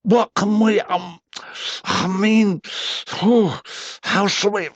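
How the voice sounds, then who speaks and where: A man voicing a simple, kindly male bear who talks in a soft, bumbling, hesitant way. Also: high voice, steady tone, slow, no room sound, dull audio, pitched above the performer's own voice. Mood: earnest.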